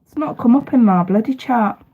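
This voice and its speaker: A woman's voice: a little high, moderate pace, slightly rough, narrow, tinny audio. A woman, casually hosting a live tarot stream, chatty and talking straight to her viewers, her voice bunged up with congestion. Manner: chatty, addresses viewers directly.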